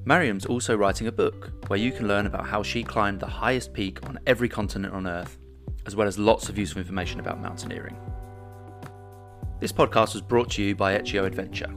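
A man's voice: on the low side, a bit bright. A man hosting a podcast interview, talking with many fillers and restarts. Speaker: podcast host.

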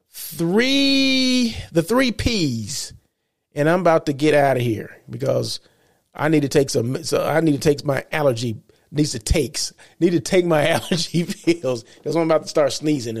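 Male host, stuffed-up, gravelly voice on the high side, loose chatty delivery with restarts. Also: a little mellow. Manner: rambling, self-correcting, chatty. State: congested. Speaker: show host. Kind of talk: casual solo show talk.

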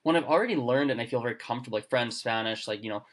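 A man hosting a casual chat, talking in quick bursts full of fillers and restarts. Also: mid-range voice, fairly bright tone. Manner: chatty, lots of fillers and restarts. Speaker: podcast host. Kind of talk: casual conversation.

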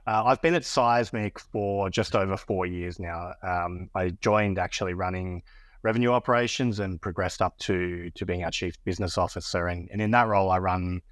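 A man's voice: deep voice, steady tone, normal speed, dry recording. An Australian man speaking as a podcast guest in casual interview talk, often repeating words as he finds his phrasing. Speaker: podcast guest, business executive. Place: Austin, Texas (based).

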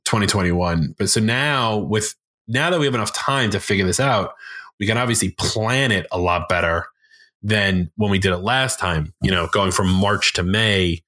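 A man from New York, a guest on a podcast, telling stories in a fast, animated way with lots of fillers. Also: lowish voice. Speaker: podcast guest, business owner. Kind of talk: casual podcast conversation.

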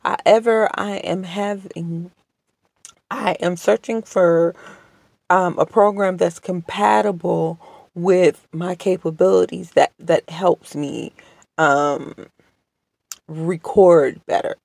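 A woman hosts her podcast alone from home, her voice strained because she is under the weather. She talks slowly and politely, with lots of hesitations and restarts, in a gravelly voice with vocal fry. The sound is dry and close to the mic. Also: lowish voice, fairly even intonation, a little mellow, dry recording. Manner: slow, hesitant, many ums and restarts; polite and apologetic. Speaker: podcast host. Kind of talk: casual solo podcast monologue. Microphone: home podcast microphone.

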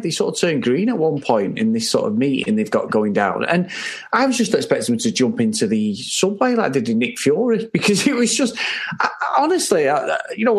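A man with a medium-pitched voice co-hosts an informal film discussion. He talks in an animated, exclamatory manner, restarting and repeating words for emphasis. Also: breathy edge. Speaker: film review podcast co-host. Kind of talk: casual conversational film discussion.

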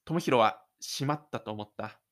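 A male narrator tells a scripted story for a homemade audio drama. His pitch is mid-range, and he delivers each action step by step as a dramatic storyteller. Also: normal speed, a bit bright. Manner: dramatic storytelling with spoken sound effects.

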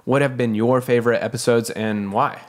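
A man co-hosting a podcast in casual chat, halting and full of "you know". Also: medium pitch, fairly even intonation. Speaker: podcast co-host.

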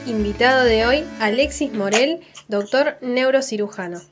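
A woman's voice: a little high, moderate pace. A woman reading a scripted show introduction as an announcer. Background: plucked guitar music.

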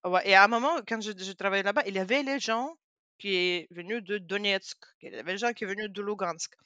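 A woman from Ukraine, a native Russian speaker with a Ukrainian accent, talking as an interview guest in animated, halting French full of fillers. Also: medium pitch, no room sound, highs cut off. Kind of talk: casual interview talk.

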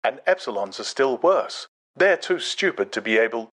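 Man acting a soft, prim recorded voice. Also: mid-range voice, very animated, moderate pace, pitched above the performer's own voice. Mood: prim, smug. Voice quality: soft, very distinct. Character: soft, prim recorded voice teaching class prejudice. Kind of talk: dramatized audiobook character.

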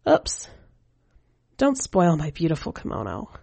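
A woman reader plays a sly female skeleton spirit from a storybook, seductive and faintly menacing. The voice is low and slow, with a hushed, coaxing manner. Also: huge pitch swings, creaky, breathy edge, dry recording, full character voice. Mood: probing, menacing.

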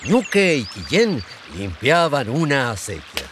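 Slow, highly animated male storyteller. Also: slight background noise. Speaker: narrator. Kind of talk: scripted storytelling narration for radio play.

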